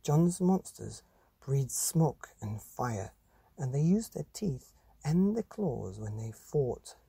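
A man reads a bedtime story at an unhurried pace, pausing for drama. His voice is gravelly and very dark, with wide swings of intonation. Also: medium pitch, very animated, no echo. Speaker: podcast host and storyteller.

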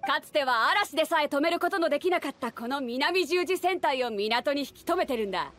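A bold pirate fleet captain for an anime game. Her voice sits high. She talks casually but with command, confident and teasing. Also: lively intonation, brisk, a bit bright. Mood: mock stern. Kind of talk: anime-style game voice acting.

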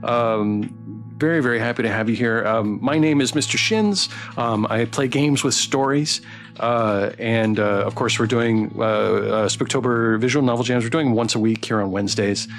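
A man streams a game and chats with his audience in a casual, rambling way. His mid-pitched voice is welcoming and full of thanks. Light plucked guitar music plays behind him. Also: normal speed, creaky. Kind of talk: casual livestream chat while playing a visual novel.

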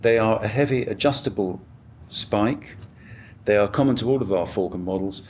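A British man in his sixties giving a measured, matter-of-fact product walkthrough as a company director. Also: lowish voice, darkish tone, a little hiss or hum. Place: hotel room at an audio show.

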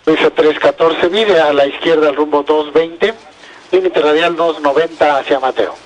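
A man's voice: a little high, steady tone, normal speed, lo-fi sound. A man with a Mexican accent working as an air traffic controller, speaking clipped phraseology over aviation radio.

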